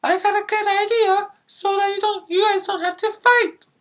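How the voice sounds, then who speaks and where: A performer voicing a small, eager and anxious hero agent in a squeaky, excitable puppet-show voice. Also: normal speed. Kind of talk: amateur puppet show acting.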